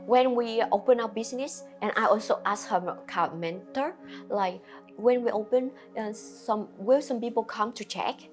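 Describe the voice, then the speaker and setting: A woman from Vietnam with a Vietnamese accent, a restaurant owner answering interview questions in halting, simple non-native English with many fillers. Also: on the high side, soft background music.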